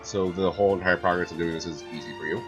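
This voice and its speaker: A man with a hoarse voice from a cold, casually commenting on a video game and rambling with lots of fillers. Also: low voice, fairly even intonation, fast, dull audio. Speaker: gameplay streamer. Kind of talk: casual let's-play commentary. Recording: gameplay with loud game music.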